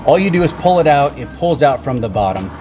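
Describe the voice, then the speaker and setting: A man's voice: mid-range voice, sing-song, fairly quick, warm tone, soft background music. A man talking casually through a hands-on how-to in plain, informal steps, as the host of a vlog. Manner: informal, plain-spoken step-by-step explaining.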